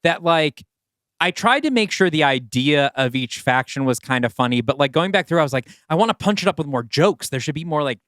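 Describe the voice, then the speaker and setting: A man's voice: on the high side, lively intonation, brisk, fairly bright tone, no room sound, studio quality. A man co-hosting a podcast in casual, rambling, self-doubting chat as a writer. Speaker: podcast co-host and writer of the story discussed.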